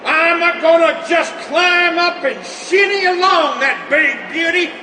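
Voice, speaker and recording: An actor playing a gung-ho, devout and reckless American bomber pilot with a Southern drawl in a radio play. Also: male voice, extremely high pitch, slow, exaggerated voice. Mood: strained, shouting. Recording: roomy, loud aircraft drone noise.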